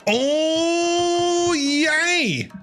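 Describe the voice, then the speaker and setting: A man giving casual, playful live reactions while streaming a video game, excitable and quick to respond. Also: very high voice. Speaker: gaming streamer. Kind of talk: casual live reaction commentary over gameplay. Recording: game music behind the voice.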